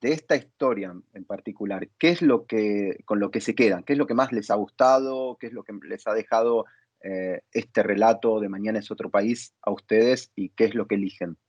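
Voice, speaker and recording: A man speaking as an interviewer in a conversational panel, phrasing his thoughts in a halting, reflective way. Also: lowish voice, darkish tone, slightly rough, dry recording. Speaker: interviewer and theatre dramaturg. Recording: dull lo-fi sound.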